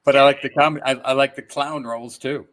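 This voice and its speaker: A man's voice: mid-range voice, steady tone, a little fast, fairly bright tone. An older man chatting casually as a guest actor in an interview, easygoing and given to chuckling. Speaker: voice and stage actor, podcast guest.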